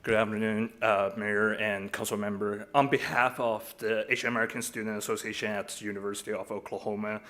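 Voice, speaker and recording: Man, low pitch, halting, filler-heavy, formal acceptance speech. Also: flat delivery, a bit bright, a little hiss or hum. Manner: halting, many fillers and restarts. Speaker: student group representative. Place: city council chamber.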